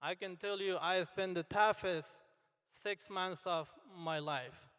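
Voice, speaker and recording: A young adult man from Afghanistan, a native Dari speaker, giving an earnest commencement speech in English with halting restarts in a large hall. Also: high voice, a bit bright, clear, resonant tone. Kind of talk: speech to graduates. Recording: echoey hall, long reverb.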